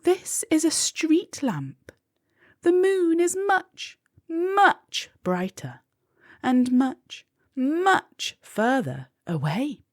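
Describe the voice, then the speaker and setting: A woman's voice: sits high, big pitch swings, dry recording, studio quality, voice pushed up, heavily acted. A woman voicing a large storybook spider who pities and discourages, sighing and stretching out warnings. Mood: pitying. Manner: sighing, drawn-out emphatic warnings.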